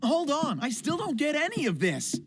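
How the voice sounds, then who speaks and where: A woman's voice: sits high, wildly swooping pitch, moderate pace, slight background noise, heavily acted. A woman playing an earnest, bewildered and stubborn teenage boy in an English anime dub. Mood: indignant. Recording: very loud music behind dialogue. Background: very loud music.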